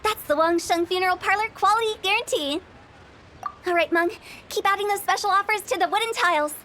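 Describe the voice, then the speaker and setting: A woman's voice: very high voice, a little fast, sharp tone, a little hiss or hum. A woman voicing a mischievous young female funeral parlor director in a video game, playful and teasing with spooky asides. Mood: scheming.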